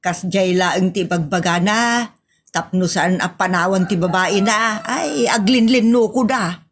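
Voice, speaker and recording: A woman's voice: medium pitch, measured pace, lightly acted. A woman with a Filipino accent playing a nagging, suspicious and demanding wife in an audio comedy drama. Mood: complaining.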